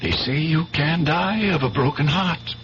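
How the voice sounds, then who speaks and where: An actor playing an earnest, blunt doctor, grave and emphatic, in a 1970s radio drama. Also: male voice, a little high, fairly bright tone, slight background noise. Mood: grave, baffled. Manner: grave, emphatic, deliberate phrasing. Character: earnest, worried doctor, a man, blunt and insistent. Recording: dull, hummy old radio recording.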